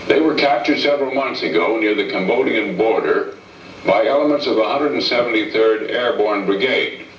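A middle-aged American man presenting a scripted 1960s military film in a formal, measured way. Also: on the low side, far from the mic. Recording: muffled, echoey old film soundtrack, hissy.

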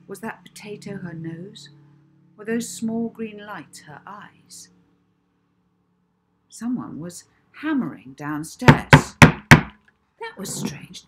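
A woman in her fifties reading her own children's book aloud as an expressive, deliberate storyteller. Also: on the low side, a little hiss or hum. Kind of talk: children's audiobook narration. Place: small indoor room at home.

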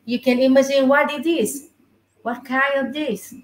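Slow, echoey Vietnamese woman, higher voice. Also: steady tone. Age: middle-aged. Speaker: puppeteer, guest. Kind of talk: explaining her craft in simple broken English. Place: small indoor puppet room. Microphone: webcam at a little distance. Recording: echoey room over video call.

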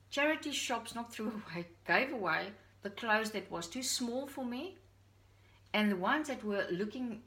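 A woman's voice: fairly high pitch, fairly bright tone, rough recording. Woman, middle-aged, Dutch accent, earnest and confiding, home vlog, living room, camera a short way off. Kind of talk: personal talk to camera. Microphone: camera on a stand at a short distance.

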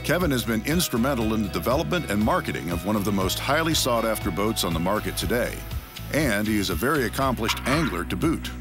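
A man's voice: on the low side, animated, fast talker. A man narrating and hosting a fishing television show in scripted narration. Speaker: narrator and host. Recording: music under the voice.

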